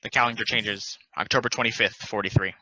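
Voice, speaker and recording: A man narrating stage directions at a play table read in a plain, deliberate, announcing manner. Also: lowish voice, fairly even intonation, pushed and bright, dry recording, poor audio.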